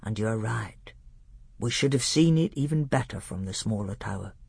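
Someone reading as an old scholar guy in a storybook, hushed n solemn, courteous, secretive, slow careful words. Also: medium pitch, expressive, creaky, husky, dry recording, voice pushed down. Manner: hushed, grave, deliberate, archaic phrasing. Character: learned old male tutor, solemn, secretive, gravely courteous. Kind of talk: audiobook character voice.

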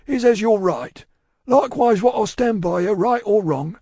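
A man's voice: high-pitched, expressive, normal speed, dark tone, breathy edge, no room sound, pitched above the performer's own voice, full character voice. A man voicing a solemn, repentant working-class London man in a storybook, with a Cockney accent and a ponderous, preachy, emphatic way of talking. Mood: solemn, earnest. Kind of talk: audiobook character acting.

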